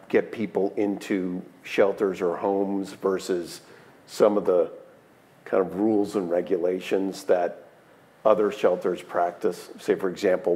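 A middle-aged man moderating a conference panel, asking measured, searching questions. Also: deep voice, mellow. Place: stage in a large room, seated in armchair.

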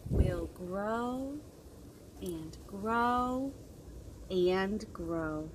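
A woman in her thirties leading an interactive storytime for young children, expressive and sing-song, asking lots of questions. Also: mid-range voice, extremely slow. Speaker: children's librarian hosting storytime. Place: outdoors on a wooden deck by trees. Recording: windy outdoor recording with clear noise. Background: wind and outdoor ambience.

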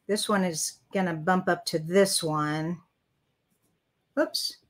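A woman's voice: fairly low pitch, steady tone, slow pace. An older woman hosting a live card-making tutorial, chatting as she works and reading viewer comments aloud. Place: craft room at a desk.

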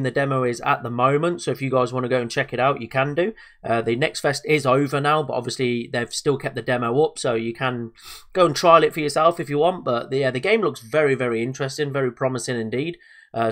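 A man sounding a little bunged up from a cold chats through a game as he plays. He talks casually, trails off, and fills gaps with ums and uhs. His pitch sits at an ordinary middle height for a man. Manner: chatty, hesitant, lots of fillers and self-talk. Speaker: gaming video host. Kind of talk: casual let's-play commentary.

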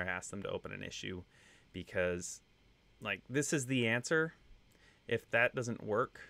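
A man's voice: fairly low pitch, gravelly. A man in his thirties with a slight Texan accent, casually explaining code to viewers on a screen-share, with loose fillers and asides. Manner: relaxed, conversational explaining with fillers. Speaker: web testing instructor. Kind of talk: screen-share coding tutorial.

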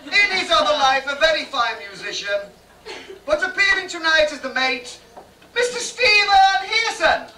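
High-voiced woman compere, distant and echoey. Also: animated, normal speed, pushed and bright. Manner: theatrical, teasing. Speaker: master of ceremonies. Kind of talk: comic pantomime introductions to live audience. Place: small dim stage in a theatre bar. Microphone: far camera. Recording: distant echoey noisy bar stage recording.

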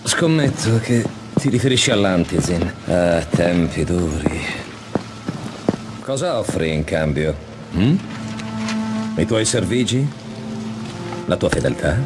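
Casting: silky, menacing video game commander, lowish voice, very varied intonation, music behind. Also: male voice, lively intonation, takes it slowly, pauses often. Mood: sly, menacing. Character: menacing, silky-mannered male villains and commanders. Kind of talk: dramatic Italian video game dubbing.

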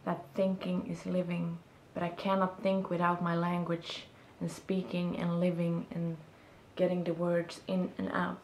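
A young Swedish woman talks slowly and thoughtfully to the camera from a small room, her English carrying a clear Swedish accent. She often pauses to find the right word. Her voice sits a little low. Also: monotone, darkish tone, reverberant, slight background noise, low-quality recording. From Sweden. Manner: thoughtful, searching for words, gestures while talking. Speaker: vlogger. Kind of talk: reflective casual monologue to camera. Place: small room indoors, seated on a bed.

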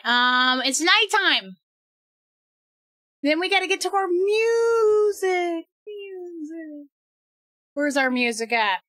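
A young woman live-streaming a game from home, excitable and quick to laugh and shout, and losing her voice. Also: sits high, dry recording. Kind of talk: casual live-stream chat while gaming.

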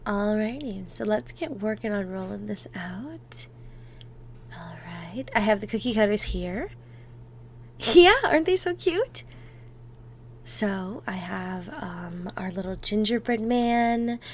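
A woman playing an affectionate, playful girlfriend in an ASMR roleplay, talking softly and intimately, sometimes close to a whisper. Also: mid-range voice, animated, a little hiss or hum. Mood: cheerful, relaxed. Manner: soft, affectionate, unhurried, dropping into near-whispers.

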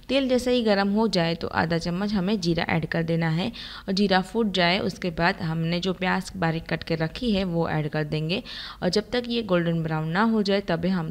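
A woman with a slightly low voice presents a recipe as a voice-over. She explains each step in an instructional manner, speaking straight to the viewer as a cooking channel host. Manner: instructional, addresses viewers directly.

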